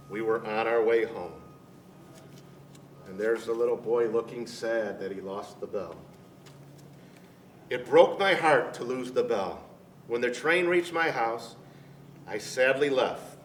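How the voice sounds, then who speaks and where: A man reads a children's picture book aloud at a slow, deliberate pace, giving it some drama. The voice sits away from the microphone in an echoey room, with faint noise. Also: medium pitch, distant mic. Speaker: storyteller dressed as Santa Claus, reading to children.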